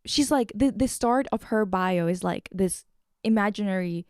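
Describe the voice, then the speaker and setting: A woman's voice: medium pitch, normal speed, darkish tone, no echo, studio quality. A woman hosting a podcast in casual chat, slangy, sweary and irreverent as she recaps and reads things aloud. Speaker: podcast host.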